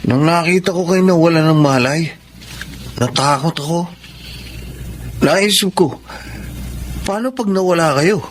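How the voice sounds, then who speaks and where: An actor playing an excitable, carefree neighbor in a Filipino radio drama, colloquial and animated, with a Filipino accent. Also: male voice, a little high, measured pace, pauses often, a little hiss or hum, lightly acted. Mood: earnest.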